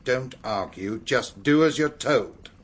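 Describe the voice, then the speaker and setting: An actor playing a middle-aged senior British police officer in a radio drama, measured, firm and courteous. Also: male voice, mid-range voice, expressive. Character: authoritative but humane senior police officer.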